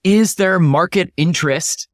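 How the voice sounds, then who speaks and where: A man with a slightly high voice talks as a guest in an interview. He explains ideas conversationally and emphatically, often posing questions to make his point. The sound is dry and close, with little room. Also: measured pace, no room sound. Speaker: interview guest, startup founder.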